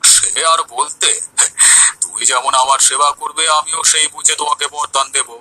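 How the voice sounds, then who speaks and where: A man playing a grumpy, greedy fake holy man in a cartoon story, gruff, impatient and haughty. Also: a little high, flat delivery, moderate pace, pushed and bright, no room sound, rough recording. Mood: pleased. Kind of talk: Bengali cartoon story dialogue.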